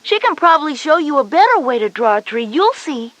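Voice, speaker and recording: High, animated know-it-all schoolgirl. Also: a little fast, no room sound. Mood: reassuring, explanatory. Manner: explanatory, emphatic. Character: knowing, patient but slightly bossy older sister Jenny, schoolgirl. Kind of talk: scripted educational audio story dialogue.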